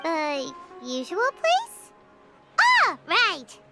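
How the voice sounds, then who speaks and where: A woman voicing a tiny, childlike floating companion in a video game, squeaky, excitable and earnest. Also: very slow, over music, full character voice. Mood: puzzled. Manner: excitable, exclamatory.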